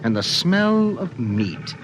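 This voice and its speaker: A man's voice: mid-range voice, very animated, slow pace, noisy background. A man with an American accent playing a vivid storyteller narrator in a 1950s radio drama. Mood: vivid, ominous. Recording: vintage 1950s radio, music underneath.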